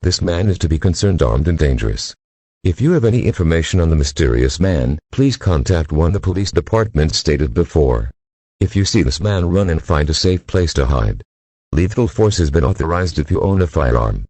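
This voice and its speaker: Very deep, dark-voiced male emergency announcer. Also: expressive, moderate pace, husky, no room sound. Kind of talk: scripted emergency broadcast announcement.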